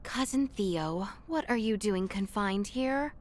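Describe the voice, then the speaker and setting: An actress playing an earnest, caring young princess in a video game, with polite, formal courtly phrasing. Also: female voice, medium pitch, expressive, slow, slight background noise. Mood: concerned, pleading. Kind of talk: video game cutscene acting.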